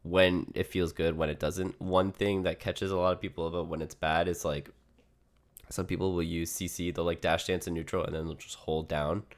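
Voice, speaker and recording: A man's voice: low-pitched, level tone, moderate pace. A man coaching casually in a one-on-one gaming lesson, his voice a little shot from being sick. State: sick, worn voice. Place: remote online voice call. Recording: live online voice call.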